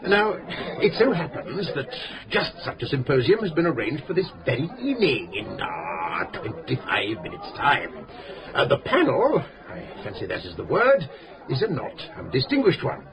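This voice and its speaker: An actor playing a pompous, long-winded English school headmaster in a radio drama, speaking in formal, pedantic, hesitant phrases. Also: male voice, mid-range voice, huge pitch swings, normal speed, poor audio. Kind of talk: British radio drama acting. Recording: radio play with busy pub chatter.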